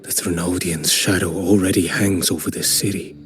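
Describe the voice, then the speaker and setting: An actor playing a calm, aloof male wanderer in a video game, terse and unhurried in speech. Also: deep voice, close to an everyday voice. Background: game music.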